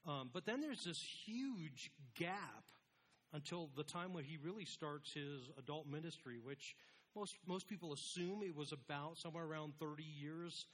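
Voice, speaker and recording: A man's voice: on the high side, normal speed, fairly bright tone. A man preaching an informal, conversational sermon as a pastor, with a casual, self-deprecating manner.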